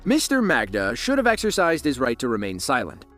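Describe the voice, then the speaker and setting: A man giving scripted narration for a police video, with a dramatic, lively delivery. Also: medium pitch, brisk, soft background music.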